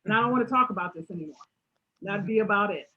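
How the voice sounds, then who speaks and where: Woman coaching an audience, strong husky voice, pretty high, muffled lo-fi sound, punchy and animated. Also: fast. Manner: emphatic, animated, repeats "right?". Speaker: speaker answering audience questions. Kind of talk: motivational Q&A talk.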